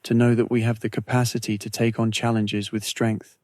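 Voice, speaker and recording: A man with a fairly low voice leads a guided meditation. His intonation stays very flat and steady, and he sets out each phrase deliberately, with soothing pauses. The recording is dry and close, free of room sound. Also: no echo. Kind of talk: guided meditation, scripted narration.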